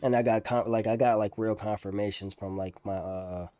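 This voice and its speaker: A young man talking casually over gameplay as a streamer, candid and slangy, in a halting way. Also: fairly low pitch, steady tone, mellow, faint music behind. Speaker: gaming streamer.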